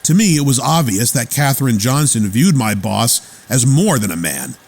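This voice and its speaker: An actor playing a loyal, watchful lab assistant who narrates earnestly in a radio theater drama. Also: male voice, mid-range voice, very animated, normal speed. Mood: wry. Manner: earnest, plain storytelling. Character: loyal, watchful male lab assistant recounting his boss's life. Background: music.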